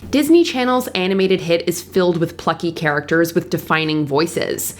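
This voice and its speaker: Low-pitched woman narrating a countdown. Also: moderate pace, a little hiss or hum. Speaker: narrator and host of a countdown video. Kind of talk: scripted narration of a top ten list. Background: background music.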